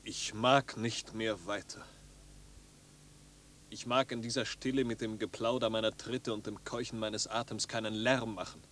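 An actor playing a restless, tormented young wanderer in a 1950s German radio drama, theatrical and brooding, between dreamy and despairing. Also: male voice, fairly low pitch, steady tone, moderate pace, a little hiss or hum, close to an everyday voice. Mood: weary, brooding. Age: young adult. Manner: theatrical, brooding, swings from hushed murmur to loud outcry.

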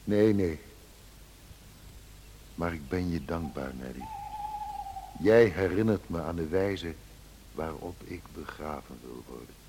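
Very deep, haunted brooding master. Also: male voice, animated, warm tone. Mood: tormented, exhausted. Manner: halting, tormented, murmuring. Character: Heathcliff, haunted, gaunt, brooding dying master. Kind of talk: radio drama acting. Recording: noisy, hissy old radio recording.

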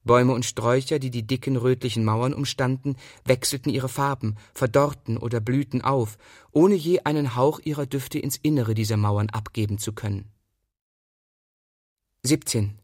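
A man reading a literary text aloud as scripted narration, in a measured and precise manner. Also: medium pitch, darkish tone, no room sound, studio quality. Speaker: reader of literary prose.

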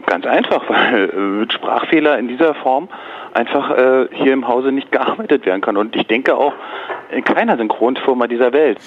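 A man's voice: mid-range voice, lively intonation, moderate pace, a little hiss or hum. A man taking a business call, speaking in a wordy, hedging, politely dismissive way. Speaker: dubbing studio employee taking a call. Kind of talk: phone conversation. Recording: tinny narrow-band phone line.

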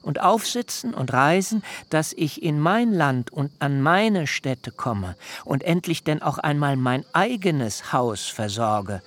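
Ein Schauspieler spielt in einem literarischen Hörspiel einen alternden, beharrlichen Hirten, der getragen und in altertümlichen Wendungen spricht. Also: male voice, on the high side, animated, a bit bright, exaggerated voice. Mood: resolute. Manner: measured, solemn, archaic phrasing. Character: aging herdsman, long-serving nephew, deliberate and insistent. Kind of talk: literary radio drama acting.

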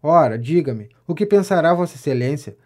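An actor playing a vain, self-important gentleman pamphleteer in a Brazilian audio drama comedy, declaiming pompously and flattering as he goes. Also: fairly high pitch, brisk, close to an everyday voice. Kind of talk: audio drama of a 19th-century stage comedy.

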